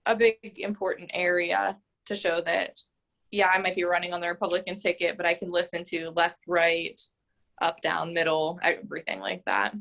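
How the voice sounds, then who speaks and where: A woman's voice: fairly low pitch, little pitch movement, moderate pace, creaky, fairly bright tone. A woman in her twenties, a mayoral candidate, answering interview questions in a chatty way full of "like" and "um.". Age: 20s. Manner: chatty, frequent "like" and "um", laughs easily.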